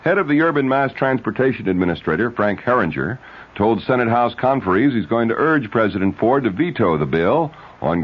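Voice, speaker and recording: Low-voiced male radio newsreader. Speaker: radio news anchor. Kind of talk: scripted 1970s news bulletin. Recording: dull, lo-fi old radio recording.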